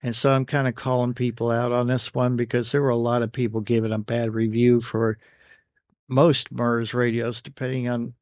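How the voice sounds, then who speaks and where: An American man recording an unscripted product review voice-over, speaking in a halting way with pauses between phrases. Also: medium pitch, flat delivery, darkish tone, dry recording. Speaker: radio gear reviewer.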